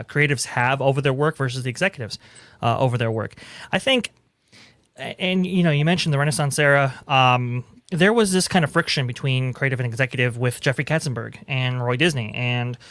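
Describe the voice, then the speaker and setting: Male panelist, conversational and halting, mid-pitched, very dry recording. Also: fairly bright tone, no echo. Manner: halting, repeats words as he thinks. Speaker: panelist giving commentary. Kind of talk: casual discussion of entertainment business.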